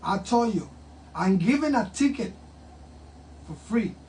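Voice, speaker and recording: A man's voice: sits high, sing-song, a little slow, darkish tone. A talkative older man with a grandson, hosting a casual promo interview and hyping a fight. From Puerto Rico. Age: has a grandson. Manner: hyped, talkative, switches into Spanish. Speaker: host. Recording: distant mic with faint ambient noise.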